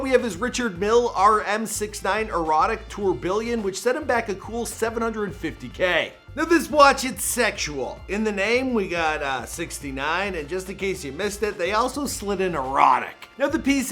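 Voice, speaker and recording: Brief: a high, bright male host with a Toronto accent, jokey and slangy, with faint music underneath. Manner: jokey, slangy, punchy delivery. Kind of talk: energetic countdown commentary.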